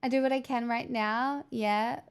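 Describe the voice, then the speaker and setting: A young woman chats casually through a livestream, answering viewers, swearing now and then and joking along the way. Her voice is smooth and clear and sits slightly higher than most. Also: steady tone, unhurried. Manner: chatty, sweary, joking, answers chat messages. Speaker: livestream host reading chat.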